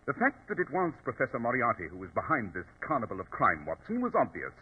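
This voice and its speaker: An actor playing a self-assured, dryly superior detective, speaking precisely in 1940s radio drama style. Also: male voice, mid-range voice, huge pitch swings, fast talker, slightly rough, full character voice. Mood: assured explaining. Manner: precise, explanatory, theatrical. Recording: tinny old radio recording.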